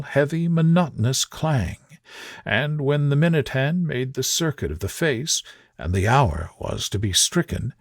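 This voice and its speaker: A man narrating a gothic bedtime story in a soothing, measured storytelling manner. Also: mid-range voice, dry recording, studio quality.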